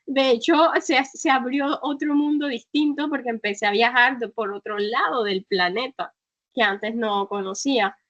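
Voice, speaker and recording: A woman's voice: on the high side, moderate pace, fairly bright tone, muffled recording. A woman guest in an interview, talking reflectively with frequent fillers and restarts. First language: Spanish. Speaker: guest, travel entrepreneur. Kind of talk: conversational interview.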